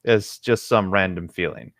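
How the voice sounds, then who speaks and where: A man's voice: low-pitched, normal speed, a bit bright, dry recording, clean studio sound. A young man reading a story aloud and chatting casually as a co-host. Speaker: co-host of a comedic creepypasta reading.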